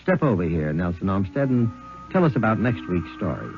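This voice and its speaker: A man's voice: low-pitched, sing-song, a little fast. A man hosting a radio show, speaking in a scripted 1950s announcer style. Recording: dull vintage radio recording. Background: loud music.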